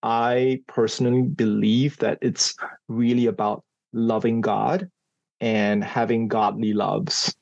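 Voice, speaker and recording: A man's voice: mid-range voice, flat delivery, slow pace, vocal fry, darkish tone, no room sound, lo-fi sound. A man from Singapore, a psychology professor, giving thoughtful interview answers with frequent small laughs and fillers.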